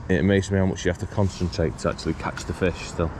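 A low-pitched British man talks casually outdoors, musing aloud like an angler presenting his own fishing video. His speech is colloquial and full of questions, picked up on an action camera with clear ambient and traffic noise around him. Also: steady tone, fast, darkish tone. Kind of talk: casual chat to viewers while fishing. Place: outdoors beside a small pond. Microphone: body-worn action camera.

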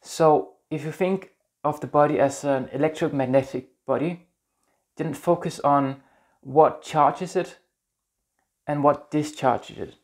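A Danish-accented man in his thirties talks gently to the camera, earnest and a little halting as he searches for words. He is recorded close on a lapel mic in a small indoor room. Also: on the high side. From Denmark. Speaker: spiritual vlogger. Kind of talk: casual talk to camera.

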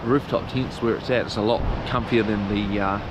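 A man's voice: fairly low pitch, steady tone, normal speed. A man in his thirties with a New Zealand accent, chatting casually and upbeat to a handheld camera at a beachside campsite. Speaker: vlogger. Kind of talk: casual travel vlog chat. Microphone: handheld action camera close up. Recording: outdoor background noise.

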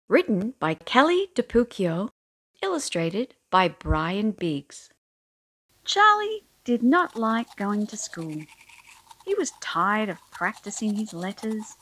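A woman's voice: mid-range voice, a bit bright, rough recording. A woman reading a children's picture book aloud as storyteller, expressively, drawing out key words for emphasis.

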